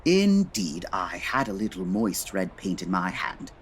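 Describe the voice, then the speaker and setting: An actor playing a haughty, brilliant gentleman detective in a comedy audio drama, speaking formally with precise diction. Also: low voice, sing-song, unhurried, slight background noise. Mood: smug, explaining.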